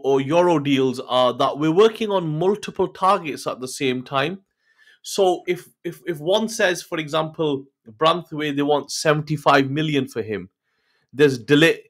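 Man, middle-aged, podcast host, eager, filler-heavy, home video call mic. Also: a little high. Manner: eager, many fillers and restarts. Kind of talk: casual football chat. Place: home room, indoors.

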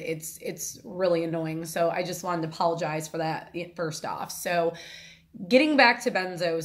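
Chatty woman vlogging from her kitchen. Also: fairly low pitch. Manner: rambling, apologetic, with many fillers and restarts. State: congested from a sinus infection. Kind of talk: casual talking-to-camera vlog. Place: home kitchen, indoors. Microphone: phone camera at arm's length.

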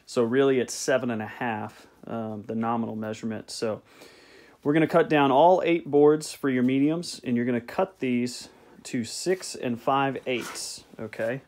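A man talks through each cut in his home workshop, recorded on a camera mic close to the table saw. He speaks plainly at a middle pitch, repeats the numbers and checks in with "okay?" after each step. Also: moderate pace, a little mellow. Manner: plain, repeats measurements, ends steps with "okay?". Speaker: beekeeping video host. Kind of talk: step-by-step woodworking instruction.

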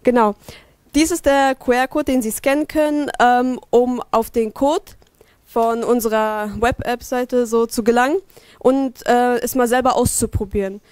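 A teenage girl reads out the team's next steps in a steady, plain way, with a few fillers. Her voice sits a little high. The sound is dry and close on a handheld mic. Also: unhurried, no echo. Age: teens. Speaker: student presenter. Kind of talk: reading presentation slides.

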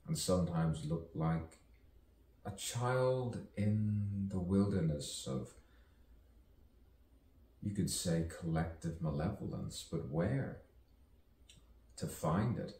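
Very deep, slow man delivering a poetic soliloquy. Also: dark tone. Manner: dramatic, musing, drawn out with long pauses. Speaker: poet performing own monologue. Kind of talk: theatrical poetic soliloquy with wordplay and rhyme. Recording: roomy, distant mic, faint background noise.

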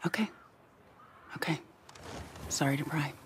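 A woman acting a middle-aged American town leader in a video game, gently insistent and caring. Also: low-pitched, a little slow, slight background noise. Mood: concerned.

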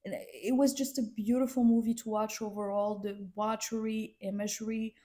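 A woman's voice: medium pitch, level tone, moderate pace, warm tone. A young woman who is sick, co-hosting a casual film review chat, speaking in a thoughtful, hesitant way. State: sick, voice affected by illness.

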